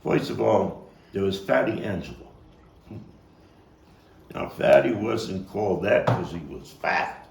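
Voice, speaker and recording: Guy doing a wry brooklyn street tough telling gang stories onstage, gravelly, echoey room, bit of noise. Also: fairly low pitch, animated, full character voice. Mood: wry, nostalgic. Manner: dramatic storytelling with pauses and emphatic swells. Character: streetwise Brooklyn man reminiscing about his boyhood gang, coarse and wry. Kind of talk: theatrical monologue, table read. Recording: roomy, distant mic, faint noise.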